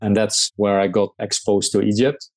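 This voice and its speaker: A middle-aged man from the Netherlands with a Dutch accent, a startup founder answering interview questions in a measured way with frequent hesitations. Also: fairly low pitch, level tone, darkish tone.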